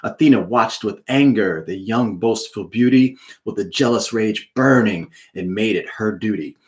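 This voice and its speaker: A man, a children's author hosting a live read-aloud, moving between casual chat and expressive, dramatic poetry reading. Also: on the low side, moderate pace.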